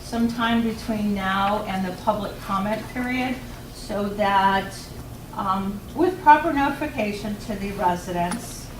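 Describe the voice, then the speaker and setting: A woman giving earnest public comment at a township meeting, halting with many ums. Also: mid-range voice. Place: township hall meeting room. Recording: echoey room from a far mic, noisy.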